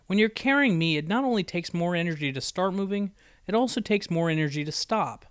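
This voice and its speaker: A man's voice: sits high, animated, a little fast, fairly bright tone, clean tone, no echo, studio quality, voice pushed up. A man voicing a bookish young female unicorn pony in an audiobook, with a precise, lecturing and easily exasperated manner. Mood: annoyed, lecturing. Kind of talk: acted audiobook dialogue.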